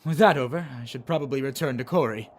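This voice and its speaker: A man voicing a confident alien soldier and aide in an animation, speaking in a measured, earnest way. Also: mid-range voice, close to an everyday voice. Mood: gentle, solemn. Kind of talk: amateur animation voice acting.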